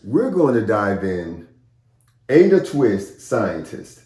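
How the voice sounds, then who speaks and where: Animated low-voiced man hosting children's storytime. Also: slow pace, roomy sound, music in the background. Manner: playful, addresses listeners directly with questions. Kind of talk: animated children's read-aloud and casual chat to young viewers.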